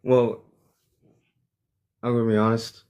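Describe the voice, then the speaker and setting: A man's voice: medium pitch, little pitch movement, slow, a little mellow. A twenty-one-year-old man calling in to a live debate, answering bluntly and mocking the host. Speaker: caller.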